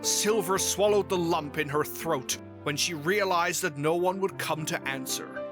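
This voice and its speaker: A man's voice: fairly high pitch, measured pace. A man reading scripted story narration as the narrator of a fan fiction tale. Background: music.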